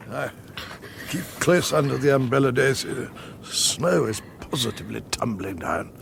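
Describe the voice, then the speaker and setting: A stubborn middle-aged English husband in a radio comedy. His voice is gravelly and creaky, his manner dry and defensive. A faint rumble sits underneath. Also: very animated, heavily acted. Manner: dry, defensive, deliberate wording.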